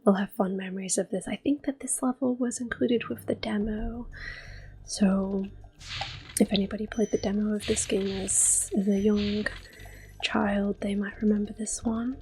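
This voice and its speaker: A woman giving soft-spoken, often whispered ASMR commentary on a game, gentle and breathy. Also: mid-range voice, fairly even intonation, slight background noise. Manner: gentle, unhurried. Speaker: ASMR gameplay host.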